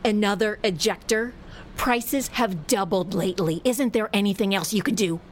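A woman's voice: medium pitch, normal speed, a little hiss or hum, natural voice. An actress playing a nervous, indignant middle-aged woman customer, defensive and emphatic, in a comedy audio drama. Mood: worried.

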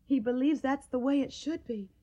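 A high, girlish voice opens up: a young Southern woman in love, tender and anxious. She speaks with open feeling in the manner of radio drama. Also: moderate pace, warm tone. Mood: wistful, defensive.

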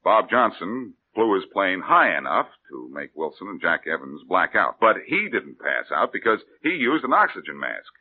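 An actor playing a cocky, wisecracking male detective in 1940s radio-drama style, with a husky voice. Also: moderate pace, hardly any pauses, full character voice. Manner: breezy, joking, lively pitch. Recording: narrow phone-like old radio broadcast.

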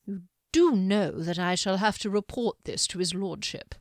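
A woman voicing a calm, sly male wizard who mocks in slow, quietly menacing tones. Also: mid-range voice, no echo, studio quality, close to an everyday voice. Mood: cold.

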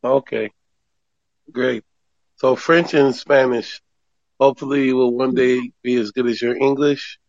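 A man hosting a podcast who asks questions in a measured, encouraging way. His voice sits at an ordinary pitch. The recording is dry, with little room sound, and a bit dull. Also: fairly even intonation, darkish tone, no room sound. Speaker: podcast host. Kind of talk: casual interview.

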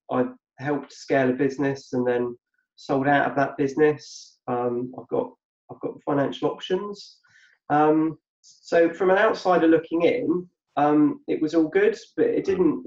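A man's voice: a little high, darkish tone. A middle-aged man talking reflectively as an interview guest, often repeating words while he gathers his thoughts. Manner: halting, repeats words while thinking aloud. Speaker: podcast guest. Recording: dull roomy sound from off-mic.

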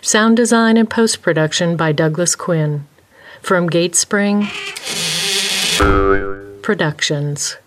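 A woman's voice: on the low side, takes it slowly, long pauses, background noise. A woman announcer reading scripted closing credits.

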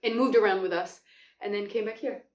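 A woman from France speaks at a middle pitch, enthusiastic but halting, often restarting and stretching out words as she tells her story. The mic sits some way off in an echoey home kitchen. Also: fairly even intonation, darkish tone. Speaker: guest. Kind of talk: casual conversational storytelling. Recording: echoey room, far from the mic.

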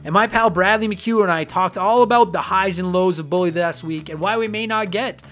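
A man with a high-pitched voice hosts a gaming podcast in relaxed, casual chat. He talks with eager enthusiasm and swears freely. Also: a little fast, faint music behind. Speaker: podcast host.

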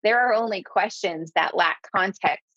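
A woman's voice: mid-range voice, moderate pace, a bit bright, dry recording, muffled recording. A woman who runs her own business, speaking emphatically in an interview and repeating key words for stress. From southeastern United States. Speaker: guest, business owner. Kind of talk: conversational interview.

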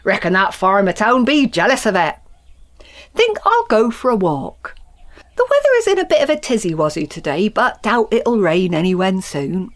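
A woman voicing a blunt, stubborn middle-aged countryman farmer who talks gruffly and bellows. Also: medium pitch, very animated, moderate pace, natural voice. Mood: musing.

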